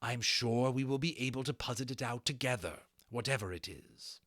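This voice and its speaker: A man's voice: medium pitch, normal speed, fairly bright tone, no room sound, clean studio sound, natural voice. A man voicing a young male dragon in an audiobook, affectionate and eager, with earnest, formal old-fashioned phrasing.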